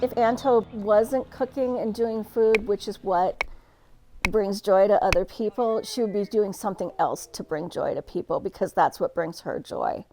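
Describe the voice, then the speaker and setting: A woman's voice: mid-range voice, moderate pace, low-quality recording. A woman speaking admiringly about a friend in an interview. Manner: admiring, warm testimony. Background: music.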